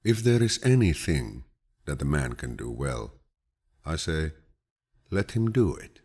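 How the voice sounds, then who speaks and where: Male audiobook narrator, calm and grandfatherly, bottom-register voice with a husky rasp, reading reflective quotations in measured fashion. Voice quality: deep, low, gravelly.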